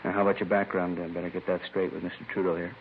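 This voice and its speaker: An actor playing a steady, businesslike police captain with an authoritative, matter-of-fact manner in a 1950s radio drama. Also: male voice, low voice, rapid-fire. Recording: narrow, noisy old 1950s radio recording.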